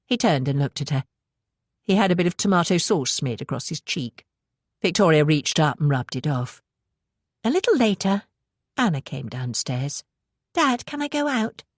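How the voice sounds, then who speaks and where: British female audiobook narrator, low register, scripted novel reading, very dry close sound. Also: lively intonation, moderate pace, pauses often, dry recording.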